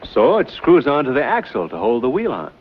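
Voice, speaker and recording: A man's voice: mid-range voice, wildly swooping pitch, brisk, husky. An actor playing an easygoing American frontiersman who drawls with hesitant fillers. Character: easygoing frontiersman hero, adult man. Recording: tinny old TV soundtrack.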